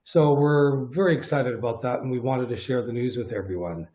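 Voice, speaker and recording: Middle-aged canadian guy hosting, warm dark voice, earnest and measured, dull lo-fi sound w faint music. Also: medium pitch, a little hiss or hum. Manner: measured, earnest, slightly halting when unscripted. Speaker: artistic producer and host. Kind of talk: formal introduction then conversational interview.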